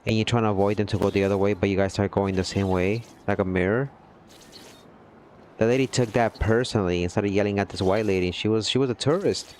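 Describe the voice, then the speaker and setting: A man's voice: fairly low pitch, steady tone, fast talker, a little mellow, a little hiss or hum. A man chatting casually with his livestream viewers, earnest and rambling, often checking in with "you know what I mean". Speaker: gaming livestreamer.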